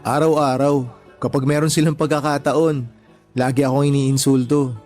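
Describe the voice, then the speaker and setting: A man's voice: mid-range voice, level tone, normal speed, a little mellow, soft background music, close to an everyday voice. A man playing a shy, humble and deferential young man in a radio drama. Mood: resigned, candid. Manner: polite and deferential. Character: humble, shy, self-effacing young layout artist born one-handed.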